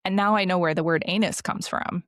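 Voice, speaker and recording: A woman in her thirties co-hosting a podcast, chatting casually with a wry, deadpan manner and frequent laughs. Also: lowish voice, fairly quick, no echo, clean studio sound. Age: 30s. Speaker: podcast co-host. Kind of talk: casual chat.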